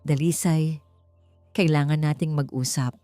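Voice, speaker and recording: A woman with a Filipino accent playing an earnest, soft-spoken, protective father in an audiobook story. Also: deep voice, fairly even intonation, measured pace, faint music behind. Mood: heavy-hearted. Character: earnest, protective adult father, gentle and troubled.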